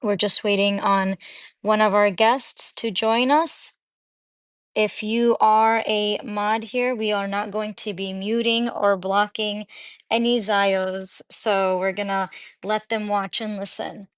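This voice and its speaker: A woman hosts a live online panel in a relaxed, welcoming way and fills gaps with "um". Her voice sits at a medium pitch. The sound is close and dry, with no room echo. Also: slow pace, clear, resonant tone. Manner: welcoming, with frequent "um" fillers. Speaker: live stream host. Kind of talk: casual hosting of a live panel.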